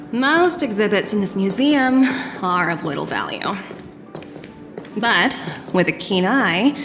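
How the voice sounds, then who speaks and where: A poised young executive, music behind. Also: female voice, mid-range voice. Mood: smooth, businesslike. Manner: polite, measured, articulate. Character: poised young female corporate executive, cultured and businesslike. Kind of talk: video game voice acting.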